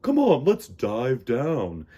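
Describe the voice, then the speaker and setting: A man voicing a big, kindly storybook whale with a deep rumbling voice and a calm, reassuring, cheerful manner. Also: slightly rough, heavily acted. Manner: calm, reassuring, drawn-out delivery.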